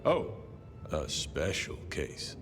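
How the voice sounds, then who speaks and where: An actor playing a touchy, sardonic veteran police officer in a video game, bantering bluntly, with long reverb and music around the voice. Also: male voice, extremely low pitch, wildly swooping pitch, very slow, exaggerated voice. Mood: mock alarm. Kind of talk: video game dialogue acting.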